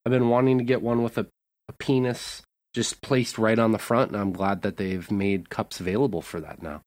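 A man co-hosting a casual podcast, telling jokes deadpan and recounting his week in a laid-back way. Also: on the low side, moderate pace, no room sound. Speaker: podcast co-host.

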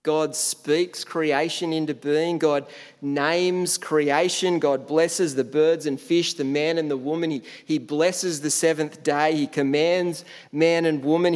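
A man's voice: fairly high pitch, darkish tone, in a large hall. A man from Australia, preaching a sermon in a conversational way, with frequent fillers and restarts.

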